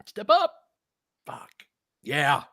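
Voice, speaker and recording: A man doing casual fan play-by-play of a game as a host, in an excitable, slangy style with some swearing. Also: a little high, very slow, no echo, clean studio sound. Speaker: sports podcast host calling a game.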